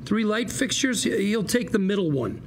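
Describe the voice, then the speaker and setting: A man's voice: sits high, animated, normal speed, slight background noise. A man playing a scrappy, indignant lawyer at a script read, sarcastic, combative and theatrical. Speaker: actor at a script table read. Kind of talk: acted script read at a table.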